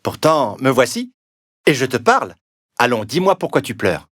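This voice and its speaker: Man playing a lively, croaking storybook frog. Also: medium pitch, normal speed, natural voice. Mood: coaxing. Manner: punctuates speech with a nonsense croak. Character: talking frog, polite, pleading suitor to a princess. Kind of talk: children's storybook cartoon acting.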